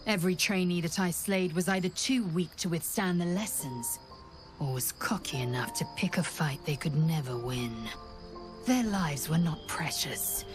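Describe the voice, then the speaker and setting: A woman's voice: on the low side, normal speed, lightly acted. An actress voicing a fierce, proud female githyanki warrior with a harsh, declaiming manner, heard over a music score. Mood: cold contempt.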